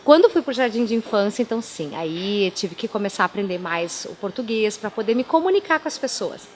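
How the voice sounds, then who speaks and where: A woman's voice: mid-range voice, very animated, a little fast, a little hiss or hum. Chatty woman with a German mother tongue. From Timbó, southern Brazil. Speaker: pastor, interview guest. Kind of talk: radio interview, life storytelling.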